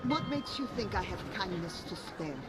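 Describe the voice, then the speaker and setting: A woman's voice: medium pitch, expressive, moderate pace, natural voice. A woman playing a guarded, weary washerwoman and protective mother in an audio game. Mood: guarded, pleading. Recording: loud music and outdoor ambience behind.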